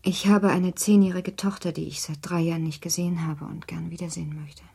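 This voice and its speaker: An actress playing a sorrowful, proud young mother in a German radio play, pleading earnestly and formally in a breathy, restrained voice. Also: on the low side, steady tone, a little fast, dark tone. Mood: pleading, pained.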